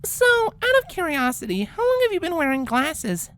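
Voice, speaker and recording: Woman playing a high, bookish cartoon pony princess. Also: sing-song, normal speed. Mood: friendly, curious. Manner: precise, patient, explanatory. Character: polite, bookish, pedantic young female cartoon pony princess. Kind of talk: character acting in a fan-fiction story reading.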